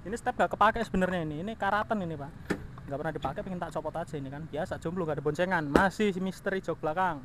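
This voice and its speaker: Man, informal vlog host, higher-pitched voice, outdoor action-camera sound, clear background noise. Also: racing. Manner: informal, colloquial, addressing the viewer. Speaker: motovlog host. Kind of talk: casual vlog walkaround of his motorbike. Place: outdoors in a house yard.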